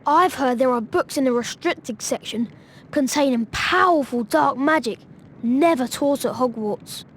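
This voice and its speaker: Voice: high voice, a little slow, slight background noise. A performer playing an earnest, curious young British schoolboy wizard in a video game. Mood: urging. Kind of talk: video game cutscene acting.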